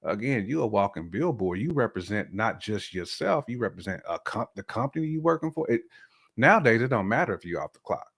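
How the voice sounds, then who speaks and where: A man's voice: fairly low pitch, big pitch swings, fairly quick, creaky, fairly bright tone, breathy edge. An American man in casual podcast conversation, talking in a colloquial, slangy way with emphatic repetition.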